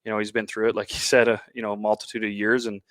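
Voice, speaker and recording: A man with a Canadian accent giving conversational interview answers as a baseball coach, pausing often as he talks. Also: fairly low pitch, little pitch movement, fairly bright tone, no room sound.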